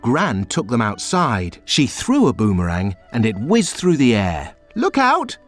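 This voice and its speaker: Man reading aloud to kids, wildly lively voice, faint music behind. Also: lowish voice. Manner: slow, very expressive storytelling. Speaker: storybook reader. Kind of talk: children's read-aloud narration.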